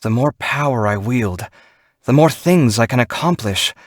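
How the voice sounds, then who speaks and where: Casting: proud, earnest young architect for an anime game; articulate, dramatic, very animated pitch, dry close recording. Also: male voice, a little slow, dry recording. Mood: resolute. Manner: articulate, expressive, theatrical. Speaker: video game character voice.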